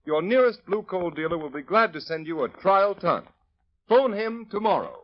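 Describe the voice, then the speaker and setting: Old-time male radio announcer, lively pitch, dull lo-fi broadcast sound with faint music. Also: normal speed. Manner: smooth salesman-like.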